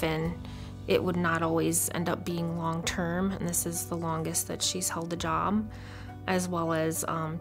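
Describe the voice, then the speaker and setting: A woman's voice: fairly low pitch, gravelly, fairly bright tone. An American woman in her thirties speaking as a case manager in an interview. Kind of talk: interview testimonial. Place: indoor interview room. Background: music.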